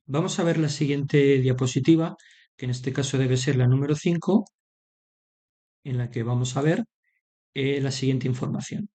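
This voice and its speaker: A man with a Madrid Spanish accent giving unscripted political commentary over slides, halting, drawing out words and often adding short checking tags. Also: mid-range voice, dark tone. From Madrid, Spain.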